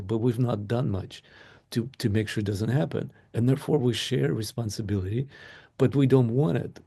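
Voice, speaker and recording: A man's voice: mid-range voice, vocal fry, dark tone, husky. A man from Ukraine, a native Russian speaker, speaking as a guest in an interview and arguing passionately with rhetorical questions. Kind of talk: conversational interview.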